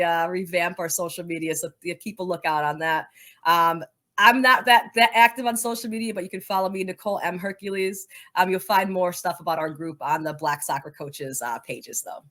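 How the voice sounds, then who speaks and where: A woman's voice: on the low side, fairly bright tone. A woman speaking as an interview guest, answering conversationally in an enthusiastic, encouraging way. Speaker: interview guest, soccer coaches organization leader.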